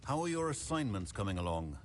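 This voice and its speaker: A man playing an exacting but encouraging professor of magic in a video game, speaking formally and deliberately. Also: lowish voice, very animated. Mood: stern, approving. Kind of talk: video game character dialogue. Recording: clearly noisy game audio.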